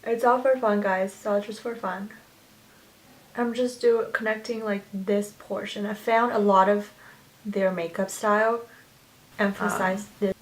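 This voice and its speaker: A woman's voice: medium pitch, fairly even intonation, measured pace, poor audio. Woman in her 20s, Chinese first language, chatty get-ready-with-me beauty vlogger. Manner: chatty and gushing, with many fillers and restarts. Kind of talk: get-ready-with-me makeup tutorial chat.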